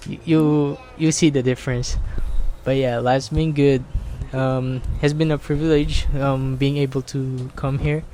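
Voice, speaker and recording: Young guest from the Philippines, calm and measured, light background noise. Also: medium pitch. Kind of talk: casual chat.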